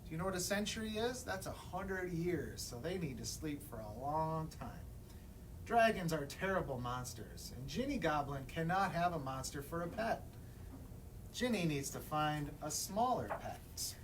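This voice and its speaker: A man's voice: fairly high pitch, big pitch swings, normal speed. A man reading a children's picture book aloud as a librarian at story time, expressively, putting questions to young listeners. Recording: distant mic, room noise.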